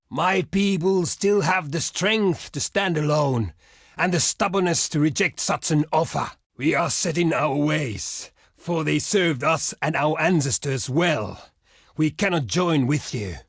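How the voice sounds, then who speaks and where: A proud barbarian chieftain from a strategy video game. His voice sits a little high for a man and is thick with gravel and husk, recorded close and dry. He declaims solemnly and defiantly in old-fashioned phrases. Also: dry recording, heavily acted. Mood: firm, measured refusal. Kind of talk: dramatic game diplomacy voice acting.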